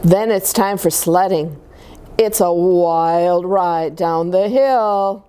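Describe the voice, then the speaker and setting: A woman reading picture books aloud to young children, with expressive, deliberate phrasing and chatty asides to her listeners. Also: on the low side, clean tone. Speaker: children's story-time reader at a church.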